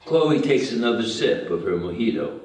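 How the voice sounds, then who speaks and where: A man's voice: mid-range voice, animated, normal speed, a little mellow. A man reading stage directions as scripted narration at a table read. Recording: very echoey room, far from mic.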